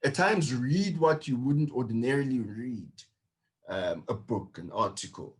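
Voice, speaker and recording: A male lecturer giving reflective talk in a measured, earnest way, with slow emphatic phrases. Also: medium pitch, animated.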